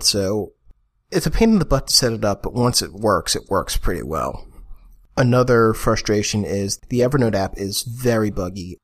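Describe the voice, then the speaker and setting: A young man hosting a solo podcast, talking casually into a condenser mic on a boom arm at home, mildly grumbling, with fillers. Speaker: podcast host. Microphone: USB condenser mic on a boom arm.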